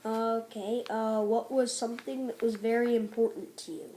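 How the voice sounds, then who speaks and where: A woman's voice: a little high, level tone, moderate pace, dark tone, slight background noise. A female interviewer reading out prepared questions in a plain, hesitant way. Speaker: interviewer for a school project.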